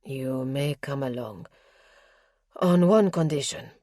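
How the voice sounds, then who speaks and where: A reserved young nobleman speaks in a deep, guarded tone, as a woman reads him. His words are clipped and formal. The sound is dry and close. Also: takes it slowly, dark tone, dry recording, full character voice. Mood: grave. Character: grave reserved nobleman, young adult man.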